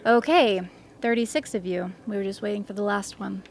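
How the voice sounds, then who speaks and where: A woman's voice: mid-range voice, brisk, natural voice. A woman playing a composed, authoritative commander of an Antarctic survey in a radio drama. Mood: firm. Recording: faint background noise.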